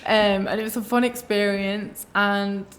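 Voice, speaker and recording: A woman's voice: mid-range voice, little pitch movement, measured pace. A young woman from Manchester talks as a podcast guest in an everyday mid-range voice. Her speech is loose and informal, full of "like", with casual swearing and dry, wry humour. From Manchester, England. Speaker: playwright guest. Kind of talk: casual interview chat.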